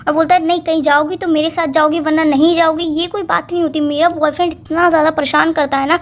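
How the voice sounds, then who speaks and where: Woman acting a very high-pitched, exasperated young girlfriend. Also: little pitch movement, quick pace, lightly acted. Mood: exasperated, complaining. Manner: pleading, insistent, defensive. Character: exasperated young girlfriend pleading to be heard and believed. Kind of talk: acted romantic phone-call drama in casual colloquial speech.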